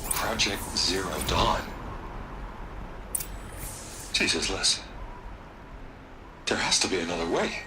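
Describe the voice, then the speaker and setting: A man playing an evasive, defensive corporate tycoon in a video game, by turns smooth, flustered and pleading. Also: deep voice, animated, measured pace, noisy background. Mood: appalled. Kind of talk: dramatic video game acting. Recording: music behind the voice.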